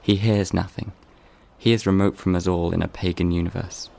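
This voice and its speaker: A man's voice: low voice, steady tone, moderate pace, vocal fry, a little mellow. A man acting a scholarly, scornful character with precise, lofty delivery in a literary drama. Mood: wistful, scornful. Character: scholarly, scornful man devoted to Latin and order.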